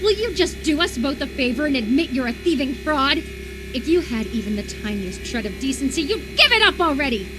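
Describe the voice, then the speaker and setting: A woman's voice: high voice, big pitch swings, fairly quick, background noise. A woman voice-acting an unhinged, obsessive lover in a horror visual novel, intense and volatile, swinging between tenderness and menacing fury. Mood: menacing, impatient. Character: unhinged, obsessive lover convinced an impostor replaced their beloved.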